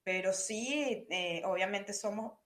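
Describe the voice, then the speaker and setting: A young adult Venezuelan woman talks as a podcast guest in a relaxed conversational way. She thinks aloud, pausing on fillers and circling back to rephrase, with a Venezuelan Spanish lilt. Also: mid-range voice. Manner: thoughtful, hesitant, with many fillers and restarts. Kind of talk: conversational interview.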